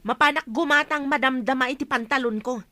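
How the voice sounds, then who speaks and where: A woman's voice: sits high, brisk, a bit bright, no echo. A woman playing a selfish young spender in an Ilocano radio drama. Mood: dismissive. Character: selfish young spender refusing to lend money.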